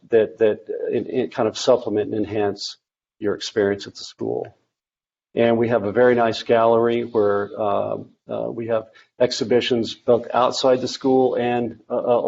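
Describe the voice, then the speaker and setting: A man from the architecture faculty giving a virtual open house presentation, talking haltingly with many fillers and restarts. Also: mid-range voice, darkish tone, highs cut off. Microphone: video call.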